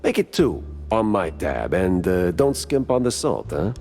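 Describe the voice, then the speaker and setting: Noir mouse gumshoe, American, deep gruff voice, unhurried, world-weary, wry, slangy, cartoon. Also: male voice, big pitch swings, a little mellow, soft background music, exaggerated voice. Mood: wry, tired. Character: world-weary hard-boiled mouse private detective. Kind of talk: 1930s noir detective acting with brooding voice-over.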